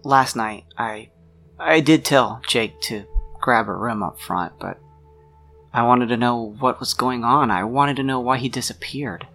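A man playing a troubled, protective friend of a missing woman in a role-play drama, speaking in a halting, deliberate, sincere way with a gravelly voice. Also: mid-range voice, bright, forceful tone. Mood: uneasy, recounting. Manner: halting, deliberate, pausing mid-sentence. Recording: music under the voice.

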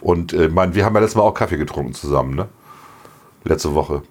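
A middle-aged man co-hosting a podcast, slightly hoarse, chatting casually and laughing often. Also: low voice, lively intonation, fast. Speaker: podcast co-host. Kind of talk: casual chat.

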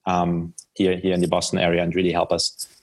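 A man's voice: low voice, completely flat delivery, a little fast. A man from Germany with a German accent, answering conversationally as a guest in a podcast interview. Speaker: podcast guest, startup founder.

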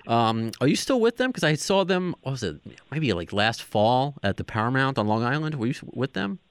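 Chatty host with a dry sound. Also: male voice, mid-range voice, big pitch swings, brisk, smooth, no room sound. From New York. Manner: chatty, jokey, asks questions. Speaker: podcast host. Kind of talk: casual interview chat.

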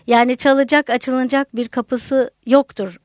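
Bright-voiced woman guest, lively explainer. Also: sits high, measured pace, no echo, clean studio sound. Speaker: guest, folklore researcher. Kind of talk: radio conversation. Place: radio studio.

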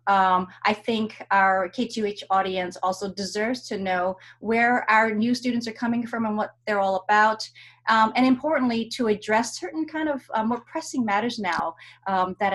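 A woman hosting a casual interview over a video call, talking chattily with many fillers and restarts. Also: medium pitch. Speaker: host.

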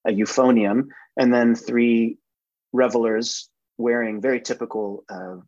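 A man giving an academic lecture from slides, halting and full of fillers and self-corrections. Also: medium pitch, steady tone, darkish tone, muffled recording.

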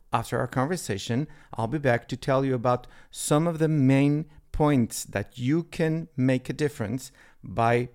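A man hosting a podcast, introducing guests in a measured, formal way. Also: mid-range voice, darkish tone. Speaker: podcast host. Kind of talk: scripted podcast intro and interview.

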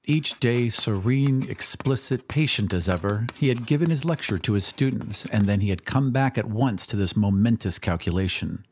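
A man's voice: on the low side, expressive, moderate pace, hardly any pauses, a little mellow, slightly rough. A man giving a dramatic scripted narration of a story, as in an audio drama. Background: music.

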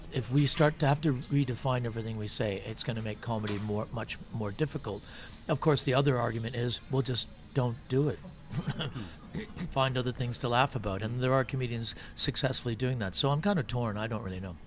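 A man's voice: medium pitch, a little fast. A man who is a stand-up comedian, chatting on a podcast, thinking aloud with many restarts. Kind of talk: casual podcast chat. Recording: noisy background.

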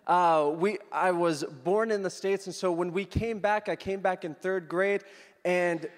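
A man's voice: high voice, fairly even intonation, unhurried. Upbeat man preaching in a reverberant church. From Philippines. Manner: upbeat and joking with the congregation. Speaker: guest preacher. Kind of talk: sermon with personal story. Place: church hall. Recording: long church hall reverb.

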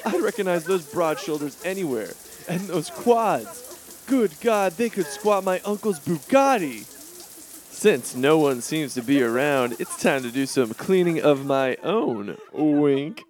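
A cocky, spoiled teenage rich kid acted by a man in a comedy audio drama. His voice sits fairly high and swings widely in pitch, full of crude swagger and melodramatic exclamations. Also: very animated, slow, darkish tone. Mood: smug, theatrical. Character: pampered, cocky, crude rich teenage boy, Batman's nephew.

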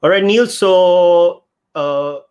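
A man's voice: high voice, extremely slow, a little mellow. A man with an Indian accent hosting a conversational podcast interview, circling around his questions in a rambling way. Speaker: podcast host.

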